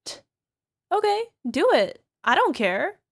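A woman's voice: high voice, big pitch swings, gravelly, exaggerated voice. A woman acting as a toxic, gaslighting young girlfriend in a realistic ASMR roleplay, dismissive and exasperated. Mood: smug, mocking. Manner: exasperated sighs, scornful, halting defensive delivery. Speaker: voice actor.

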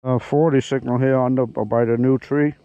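A man giving casual running commentary while digging outdoors, muttering to himself in an unhurried way. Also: mid-range voice, steady tone, warm tone, highs cut off. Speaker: metal detecting vlogger. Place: outdoors at an old farm house yard.

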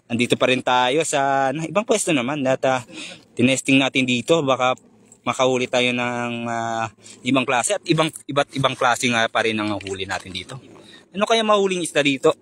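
Isang binatang nagla-live stream habang nangingisda sa gabi, madaldal at bumabati sa mga manonood sa pangalan. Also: male voice, mid-range voice, expressive, fairly quick, fairly bright tone. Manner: chatty, greets viewers by name, laughs often. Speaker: fishing vlogger doing a live stream. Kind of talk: casual live-stream commentary. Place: concrete seawall at night, outdoors.

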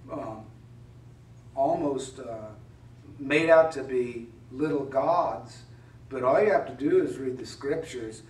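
Middle-aged pastor preaching in an echoey church. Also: male voice, mid-range voice. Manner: conversational, wry preaching with rhetorical questions. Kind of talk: sermon. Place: church sanctuary. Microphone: mic at a distance on a pulpit stand. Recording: roomy church echo from a distance.

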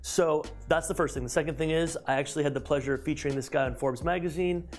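An energetic man in his thirties hosts an interview in a glass-walled office. He talks fast and eagerly, restarting his sentences and piling on fillers. A lapel mic picks him up, and soft music plays faintly behind him. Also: fairly high pitch. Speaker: host. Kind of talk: enthusiastic interview intro.

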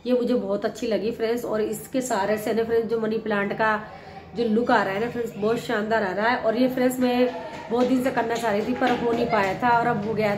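A middle-aged woman with a hoarse, cold-roughened voice chats casually to her viewers and keeps calling them friends. She is recorded outdoors on a rooftop terrace on a phone held at arm's length. A faint hiss of background noise sits under her voice. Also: mid-range voice. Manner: chatty, repeats "friends" often. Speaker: gardening channel host. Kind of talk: casual vlog talk to viewers.

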